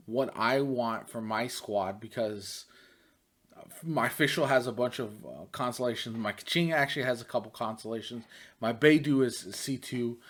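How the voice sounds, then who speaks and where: Male gaming vlogger, medium pitch, conversational and chatty reaction commentary. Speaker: gaming YouTuber. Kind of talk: casual reaction and commentary to camera.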